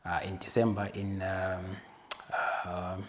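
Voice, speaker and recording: A man's voice: sits low, monotone, slow, a little hiss or hum. A man hosting a podcast, welcoming his guest and asking questions with many hesitations and restarts. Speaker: podcast host. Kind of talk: interview hosting.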